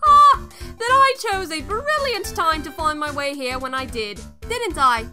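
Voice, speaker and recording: A woman voicing a fussy, excitable female pika archaeologist in a cartoon fan dub, babbling in prim, theatrical phrases. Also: sits high, a little slow, full character voice. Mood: dry, wry. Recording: music behind the voice.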